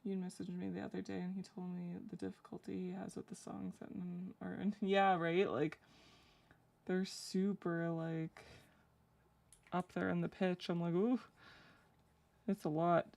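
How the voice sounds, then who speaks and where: A young woman with a low, gravelly voice talks loosely through a live drawing stream. She rambles with plenty of "like" and "um" and laughs easily. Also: flat delivery, normal speed, darkish tone. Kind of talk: casual live-stream chat while drawing.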